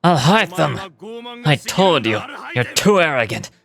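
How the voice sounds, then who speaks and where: A man's voice: on the high side, expressive, unhurried, vocal fry, crowd noise. A man acting out an arrogant, cold video game villain who rules a hive mind, speaking in a pompous, formal, declamatory way. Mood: menacing, smug. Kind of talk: live amateur voice acting of game dialogue. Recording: loud game music behind. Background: game music.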